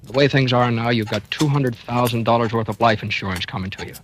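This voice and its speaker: An actor in a 1940s radio drama, narrating and playing a doctor in a tense, confiding manner. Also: male voice, mid-range voice, fast talker, a little hiss or hum, natural voice. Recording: old radio broadcast with music beneath.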